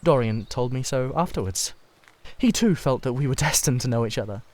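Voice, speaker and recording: A man acts out a Victorian gentleman artist from a classic novel. He speaks at a middle pitch with intense, confessional earnestness, hesitating as he confides. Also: expressive, brisk, background noise, lightly acted. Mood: earnest reflection.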